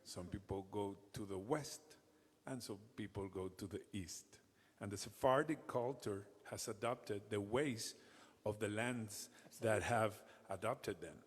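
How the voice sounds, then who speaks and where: A man from Venezuela teaching a music rhythm to children, in a halting way that restarts words. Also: on the low side, slightly rough, in a large hall. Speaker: percussion teacher, guest performer.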